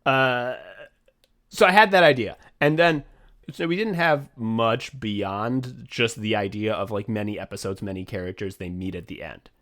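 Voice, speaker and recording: A young man co-hosting a podcast in casual chat, rambling and swearing freely, getting excited and laughing as he talks. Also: fairly low pitch, moderate pace. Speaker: podcast co-host and writer.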